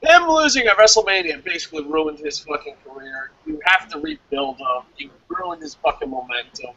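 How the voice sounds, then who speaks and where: Animated, high-voiced man, blunt wrestling commentary. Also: a little fast, gravelly, slightly rough, dull audio. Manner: profane, blunt. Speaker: co-host of a wrestling review show. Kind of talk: casual chat, opinionated sports commentary.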